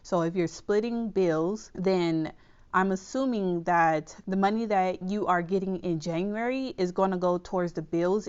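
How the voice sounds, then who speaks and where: Woman host, slightly nasal with a cold, lower pitch, smooth voice, chatty how-to delivery, dull lo-fi sound. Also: a little mellow. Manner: chatty, reassuring, checks in with "okay?". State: under the weather, slightly nasal. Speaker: budgeting channel host. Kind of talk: casual explainer to camera.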